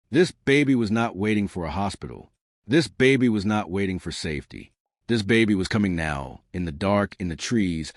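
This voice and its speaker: A man's voice: on the low side, no echo, studio quality. A man narrating a scripted dramatic story as a suspenseful storyteller who speaks straight to the listener. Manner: suspenseful, addressing listeners directly.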